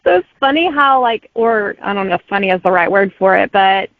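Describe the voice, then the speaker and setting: A woman author as a guest, speaking in a relaxed, friendly way and laughing easily. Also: mid-range voice, brisk, no echo. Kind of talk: casual interview chat. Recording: dry, tinny phone-like line.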